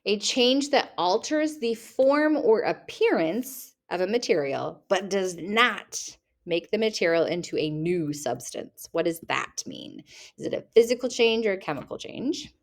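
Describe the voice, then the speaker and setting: A woman's voice: mid-range voice, animated, measured pace. A young woman teacher reading test questions aloud and rephrasing them playfully for her students, recorded at her computer. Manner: reads each question, then rephrases it playfully.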